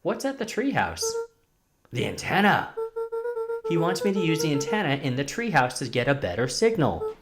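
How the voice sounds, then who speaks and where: An earnest, anxious boy hero acted out by a performer in a storybook drama, with a very animated, swooping delivery. Music plays clearly behind the voice. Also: mid-range voice, moderate pace. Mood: puzzled, excited. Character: Luca, earnest, anxious boy on a walkie-talkie adventure. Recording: loud music under the voice.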